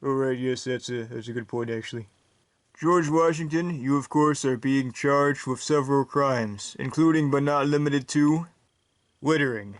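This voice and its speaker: A man voicing a bumbling wizard who works as a judge, talking in a slow, rambling, absent-minded way. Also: medium pitch, no room sound, lightly acted. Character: bumbling middle-aged male judge who is also a wizard. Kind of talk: comedic brickfilm voice acting.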